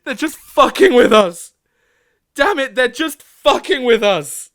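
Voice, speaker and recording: Man acting a slow, high-voiced, bitter pony. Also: a bit bright, dry recording, clean studio sound, full character voice. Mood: angry, unhinged. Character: bitter, disillusioned stable-dwelling pony recording a log. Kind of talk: dramatic audio log monologue.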